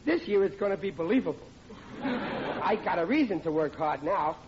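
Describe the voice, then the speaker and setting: Bumbling working-class radio comedy lug. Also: male voice, expressive, normal speed, a little mellow. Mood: cheerfully determined. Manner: hesitant, folksy, ungrammatical. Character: bumbling, good-natured working-class man, clumsily scheming. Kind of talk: 1940s radio comedy acting. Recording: dull, noisy old radio recording with rumble.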